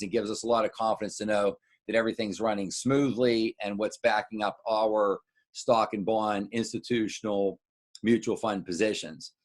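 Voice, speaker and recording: American man, middle-aged, lowish pitch, financial adviser, informal client briefing, halting delivery, explanatory asides. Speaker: wealth adviser. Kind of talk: informal market recap talk to clients.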